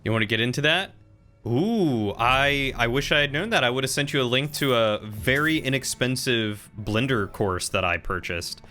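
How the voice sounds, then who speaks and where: A man's voice: medium pitch, animated, moderate pace, bright, forceful tone, soft background music. A man in his thirties chatting casually with viewers while commenting on the video game he plays. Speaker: game streamer.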